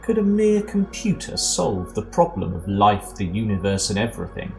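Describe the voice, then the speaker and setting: A man playing a wry narrator of comic science fiction in an amateur audio drama, deadpan yet emphatic. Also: lowish voice, normal speed, exaggerated voice. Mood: wry, explanatory. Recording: clear music behind narration.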